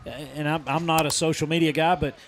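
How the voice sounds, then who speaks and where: A man calling live high school football, his voice slightly raspy as it recovers from being lost. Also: steady tone, brisk, a little hiss or hum. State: voice recovering after losing it. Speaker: football play-by-play commentator. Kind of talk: live sports commentary.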